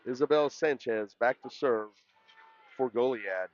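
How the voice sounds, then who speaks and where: Loud man calling volleyball, muffled audio. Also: mid-range voice, unhurried. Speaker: sports play-by-play announcer. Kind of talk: live volleyball commentary.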